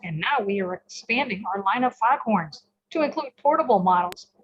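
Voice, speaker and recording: A woman's voice: mid-range voice, expressive, moderate pace, husky, lo-fi sound. A woman announcing and narrating a scripted old-time radio show in a presentational manner.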